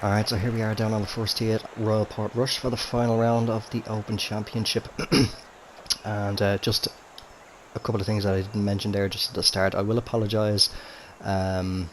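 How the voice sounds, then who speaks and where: A man with a fairly low voice talks through a game in relaxed, rambling commentary, his throat slightly rough from a cold. He speaks into a headset mic in a room at home, with a faint hiss of noise underneath. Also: moderate pace, poor audio. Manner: easygoing, chatty, frequent fillers and restarts. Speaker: gaming commentator. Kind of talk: casual gameplay commentary. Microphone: gaming headset.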